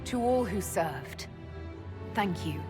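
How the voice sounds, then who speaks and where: A woman's voice: fairly low pitch, lively intonation, takes it slowly. An actress playing a resolute female military leader in a video game, speaking in a firm, direct and earnest way. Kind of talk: dramatic video game acting. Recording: loud orchestral game music behind.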